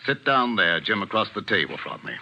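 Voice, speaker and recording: An actor playing a middle-aged English village vicar in a radio mystery, speaking with measured, commanding earnestness in an English accent. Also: male voice, on the low side, sing-song, dull audio. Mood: stern. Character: grave, authoritative English village vicar, a father. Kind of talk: 1940s radio mystery acting.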